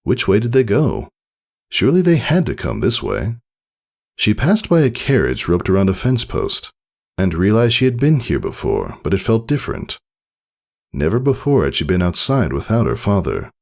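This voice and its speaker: A man reading a story aloud as scripted narration, in an expressive storytelling manner. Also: fairly low pitch, gravelly. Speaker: narrator reading fan fiction. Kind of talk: scripted audiobook-style narration.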